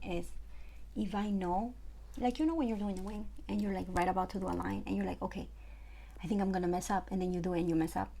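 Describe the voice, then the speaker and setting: A woman's voice: mid-range voice, gravelly, warm tone, a little hiss or hum. A young woman from Ecuador with a Spanish accent, chatting casually through a makeup tutorial at home with lots of fillers. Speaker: makeup artist.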